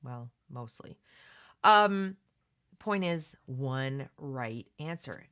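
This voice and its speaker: A woman's voice: extremely low pitch, animated, drawn-out words, a bit bright. A woman hosting a read-along, mixing reading aloud with casual, opinionated commentary in an emphatic, conversational way that addresses listeners directly.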